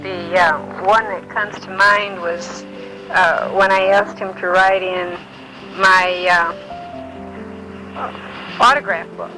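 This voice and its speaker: An elderly woman from Illinois in her nineties, gently recalling her life in an unhurried way, often pausing with um and uh. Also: mid-range voice, flat delivery, over music, rough recording. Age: older. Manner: gentle, unhurried storytelling with many ums and uhs. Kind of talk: reminiscing, answering memory prompts.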